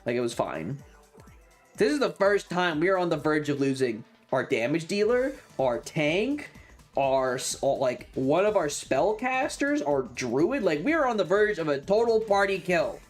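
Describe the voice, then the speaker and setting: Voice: mid-range voice, very animated, normal speed, faint music behind. A streamer telling a story casually and emphatically to viewers, with frequent swearing.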